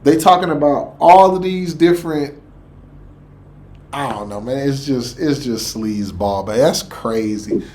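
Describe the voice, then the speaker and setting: A man giving casual, animated reaction commentary, repeating words and firing off rhetorical questions. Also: medium pitch, unhurried. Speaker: reaction video host.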